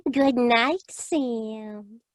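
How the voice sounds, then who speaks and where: A woman's voice: on the high side, drawn-out words, no echo, clean studio sound. A woman playing an adoring, girlish, eager secretary in 1940s radio drama style. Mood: fond.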